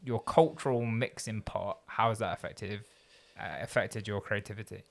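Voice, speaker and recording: A man hosts a conversational podcast, his voice low and gravelly. He thinks aloud as he talks and asks long, winding questions that stop and restart. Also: normal speed. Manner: thoughtful, rambling questions with restarts. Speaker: podcast host. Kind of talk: conversational interview.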